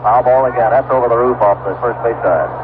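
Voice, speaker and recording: American baseball announcer on tinny, noisy vintage radio. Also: male voice, medium pitch, fairly even intonation, quick pace. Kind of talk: live radio baseball commentary. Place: outdoor ballpark broadcast. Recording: vintage radio broadcast, tinny narrow band, loud noise.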